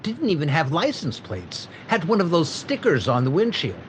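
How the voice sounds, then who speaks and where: An actor playing a shaken factory owner in a 1940s-style radio drama, talking in a hesitant, stammering way. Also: male voice, on the high side, huge pitch swings, normal speed, a little hiss or hum, low-quality recording. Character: shaken middle-aged male factory owner robbed of payroll.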